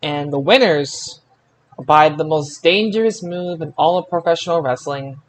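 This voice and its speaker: A man with a fairly high voice hosts a casual sports recap podcast. He talks haltingly, doubling back on words as he pieces details together. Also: low-quality recording. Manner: halting, repeats words while recalling details. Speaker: podcast host. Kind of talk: casual wrestling results recap.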